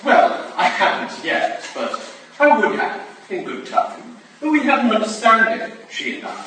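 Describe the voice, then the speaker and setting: Man acting a swaggering, lively English gentleman rake. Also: extremely high pitch, unhurried. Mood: smug, confiding. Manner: swaggering, confiding, breezy. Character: boastful, drunken upper-class English gentleman rake. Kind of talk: staged reading of period drama. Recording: echoey room from far off, noisy.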